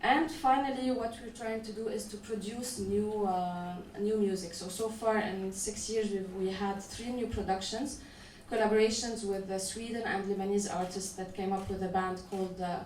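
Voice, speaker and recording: Woman festival organizer on a panel, halting with lots of uhs, mid pitch, sounds far off in an echoey room. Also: fairly even intonation, darkish tone. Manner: measured, halting, frequent "uh" restarts. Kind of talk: conference panel talk. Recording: echoey room, voice from a distance, faint noise.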